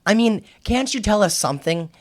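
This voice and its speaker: An actor in a radio drama playing an inquisitive, skeptical high school boy with a questioning, challenging manner. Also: lively intonation, fairly quick, no echo, clean studio sound. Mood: defiant, skeptical.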